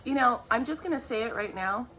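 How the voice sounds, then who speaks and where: A woman giving a tarot reading in a chatty, rambling way, apologizing often, her voice raspy from just waking up. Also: a little high, animated, brisk, bright, forceful tone, slight background noise. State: raspy morning voice. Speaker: tarot reader. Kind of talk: casual vlog talk.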